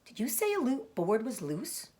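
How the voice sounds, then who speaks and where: A woman's voice: a little high, huge pitch swings, moderate pace, mellow. A woman voicing a lonely, childlike young male pig in a storybook, with a plaintive, simple manner. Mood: puzzled.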